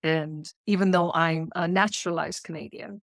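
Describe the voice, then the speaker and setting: Earnest South African woman, lowish voice. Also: steady tone, normal speed, dry recording, clean studio sound. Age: 30s. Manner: earnest, searching, with repeated questions. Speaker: podcast guest. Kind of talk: reflective interview talk.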